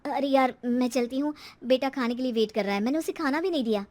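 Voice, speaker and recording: Woman playing high voice, middle-aged mother, meek, hesitant, weary, storybook housewife. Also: fast, a little mellow, close to an everyday voice. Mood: worried. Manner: hesitant, submissive.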